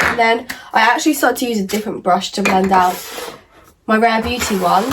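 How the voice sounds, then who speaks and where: A young woman, croaky from a cold, chatting casually through a makeup tutorial with lots of "like" and "um". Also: fairly high pitch. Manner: chatty, rambling, many fillers like "like" and "um". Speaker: beauty vlogger. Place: at home in front of a mirror.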